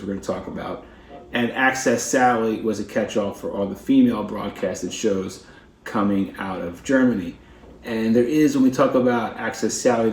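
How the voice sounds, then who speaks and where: A man's voice: mid-range voice, darkish tone. A man with an American accent presenting history in an informal, explanatory way. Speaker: presenter of a radio history video. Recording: roomy, echoing sound.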